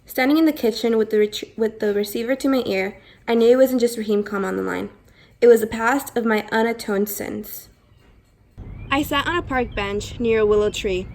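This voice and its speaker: A woman's voice: fairly high pitch, normal speed, darkish tone, lightly acted. A woman reading a remorseful narrator's memories of boyhood as scripted readers' theatre. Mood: remorseful, reflective. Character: remorseful Afghan narrator recalling his boyhood. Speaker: student performer. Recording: echoey room, faint background noise.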